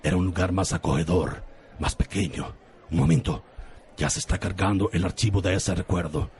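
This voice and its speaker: A man playing a naive, earnest gentleman lover, melodramatic, in a parody radio soap opera. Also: sits low, normal speed, slight background noise. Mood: earnest, dreamy.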